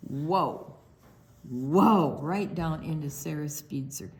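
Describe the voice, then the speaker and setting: A woman reading a picture book aloud to young children, animated, pausing to explain things simply and ask questions. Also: sits low, heavy background noise. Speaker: children's story time reader.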